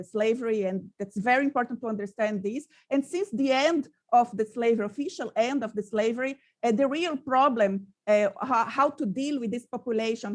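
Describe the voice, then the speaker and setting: Brazilian woman lecturing, lots of uhs and restarts, kinda high voice. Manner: hesitant, many fillers and restarts. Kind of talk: academic talk, partly read aloud.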